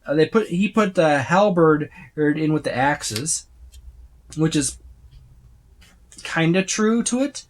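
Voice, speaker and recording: A man's voice: a little high, lively intonation, slow pace, long pauses, slight background noise. A man giving a casual, unscripted walkthrough of a book, rambling and often listing items one by one. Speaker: tabletop gaming reviewer.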